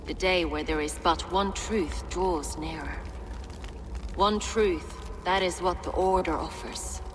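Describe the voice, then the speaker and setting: A severe, unrepentant abbess in a game, dying and fervent. She speaks very slowly in solemn oratory, in a long cavernous reverb with clear noise behind her. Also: fairly low pitch. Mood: solemn fervor. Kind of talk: video game dramatic acting.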